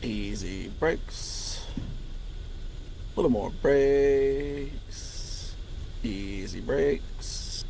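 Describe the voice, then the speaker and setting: A man leading a flight formation, giving clipped radio commands in slow, drawn-out calls. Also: medium pitch, gravelly, mellow, slight background noise, low-quality recording.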